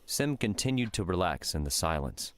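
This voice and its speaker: A man narrating a scripted fiction audiobook in a measured storytelling manner. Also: low-pitched, sing-song, no echo.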